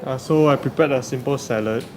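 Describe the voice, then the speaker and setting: A man competing as a cooking contestant, explaining his dish in a hesitant, soft-spoken way. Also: mid-range voice, fairly quick, crowd noise.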